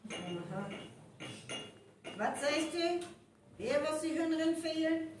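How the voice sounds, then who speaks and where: A composed detective in a live radio play, with a North Frisian accent. She asks her questions slowly in a high voice. The recording is distant, noisy and very echoey. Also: sing-song, heavily acted. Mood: probing, composed. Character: composed, probing woman detective questioning a witness. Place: live performance in a hall. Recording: echoey noisy hall from far off.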